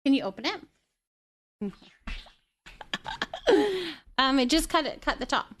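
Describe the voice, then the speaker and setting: A woman's voice: high voice, expressive, slow pace, a bit bright, no room sound. A woman hosting a live game stream, chatting casually and thinking aloud as she goes.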